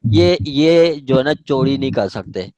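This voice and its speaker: Male Pakistani lecturer, mid-pitched, dry sound; informal, repetitive, example-led explaining with frequent check-ins. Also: expressive, unhurried, darkish tone, no echo. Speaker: law teacher. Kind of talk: informal law lecture with questions.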